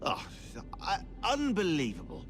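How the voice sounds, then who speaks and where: An actor playing a sardonic, opinionated historian in a video game, speaking in a dry, indignant, emphatic way. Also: male voice, sits high, huge pitch swings, unhurried, exaggerated voice. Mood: scornful, indignant. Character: sardonic, opinionated historian ally, scornful of politicians. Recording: music behind the voice.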